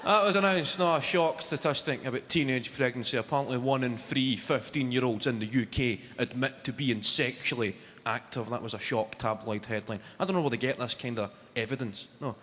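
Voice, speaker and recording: A man in his twenties with a Glaswegian Scottish accent, telling deadpan stories in a stand-up set, swearing freely. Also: medium pitch, a little fast, in a large hall. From Scotland. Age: 20s. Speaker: stand-up comedian. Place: large arena on stage. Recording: live arena with loud crowd. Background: audience laughter.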